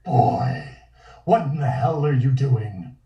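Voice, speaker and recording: A man voicing a gruff, angry cult patriarch in a comic table read, on a dull, echoey remote connection. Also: medium pitch, animated, takes it slowly. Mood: angry, scolding.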